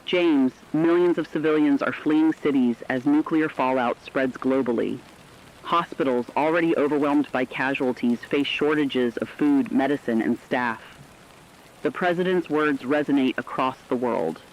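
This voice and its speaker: A man playing a grave radio correspondent, reading war news in a solemn, forceful, declamatory scripted style. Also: medium pitch, normal speed, lo-fi sound, a little hiss or hum, natural voice. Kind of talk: scripted dramatic news broadcast.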